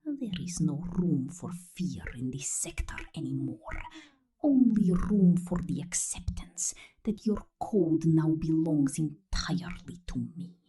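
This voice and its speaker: A possessive female robot drone, acted by a woman in an intimate ASMR roleplay. Her delivery is very slow and slightly low, breathy and often whispered. The tone is very mellow and dark, with a cold, menacing tenderness. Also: lively intonation.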